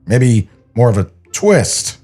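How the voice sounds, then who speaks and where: A man's voice: medium pitch, big pitch swings, slow pace, dark tone, soft background music. A man playing an earnest, conversational animator in a comic script table read.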